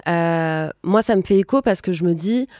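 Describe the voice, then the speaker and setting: Podcast host, woman, late twenties, lowish register, conversational, dry sound. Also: normal speed, a little mellow, dry recording. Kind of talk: conversational interviewing at a talk event.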